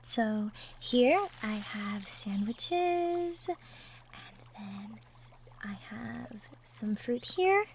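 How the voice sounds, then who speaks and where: A woman playing a gentle, cheerful young cottagecore woman from the woods in an intimate roleplay audio, soft-spoken, giggly and sweet. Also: fairly high pitch, slight background noise. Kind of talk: roleplay audio drama, intimate one-sided conversation.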